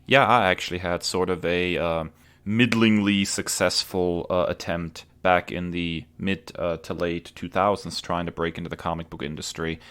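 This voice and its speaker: A man hosting a casual podcast interview in a measured, agreeable way. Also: deep voice, fairly even intonation, fairly bright tone, smooth.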